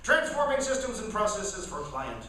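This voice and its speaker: A man's voice: high voice, very animated, moderate pace, bright, forceful tone. A man announcing sponsor spots for a live radio theater in a mock commercial style. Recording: echoey hall, distant mic, faint noise.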